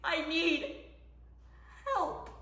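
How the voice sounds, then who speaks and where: A woman performing a dramatic monologue as a frantic, grieving mother, with emphatic delivery and heavy pauses. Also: fairly high pitch, fairly bright tone. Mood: frantic, pleading. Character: frantic mother of a middle schooler, desperate and grieving. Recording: echoey room, voice ringing off walls.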